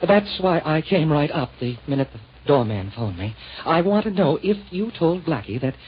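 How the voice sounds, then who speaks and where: An actor playing a nervous, furtive building superintendent in an old radio drama, fussy and repeating himself. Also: male voice, fairly high pitch, very animated, normal speed, slightly rough. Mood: anxious, furtive. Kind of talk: 1940s radio acting. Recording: muffled, faintly noisy old radio recording.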